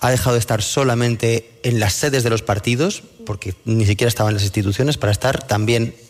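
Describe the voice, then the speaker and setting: A man's voice: mid-range voice, brisk. Male pundit, Spain accent, mid-range voice, analytical commentary, long hall reverb. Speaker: panel commentator. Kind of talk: political commentary. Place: live radio show in a venue.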